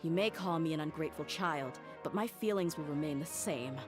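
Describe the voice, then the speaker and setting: A woman as an earnest young maid, low-voiced. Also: natural voice. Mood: conflicted. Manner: earnest and measured. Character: earnest young female servant, loyal and conflicted. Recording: faint game music behind.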